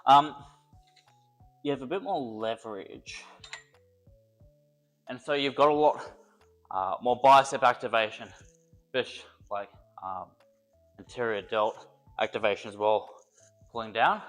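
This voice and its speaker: A man's voice: mid-range voice, measured pace, pauses often, fairly bright tone, faint music behind. A young man, a personal trainer, chatting casually and joking while he works out, a little congested from a cold. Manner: rambling, joking, thinks aloud between sets. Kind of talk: casual workout vlog talk.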